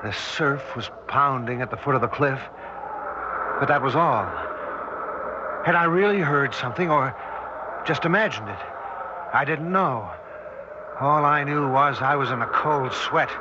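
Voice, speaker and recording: A man's voice: mid-range voice, unhurried, pauses often, close to an everyday voice. Troubled scientist played by a man, mid-range voice, dramatic and reflective, muffled noisy vintage radio play. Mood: tense, haunted. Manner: dramatic, reflective, clipped phrases. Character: troubled male electronics scientist narrating his strange ordeal. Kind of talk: 1960s radio drama narration and dialogue.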